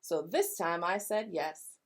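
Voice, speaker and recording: A woman reading the part of a warm, encouraging grandmother in a children's storybook, with a folksy, reassuring manner. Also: fairly low pitch, lively intonation, slow pace, exaggerated voice. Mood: fond. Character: Grace's Nana, warm encouraging grandmother from Trinidad.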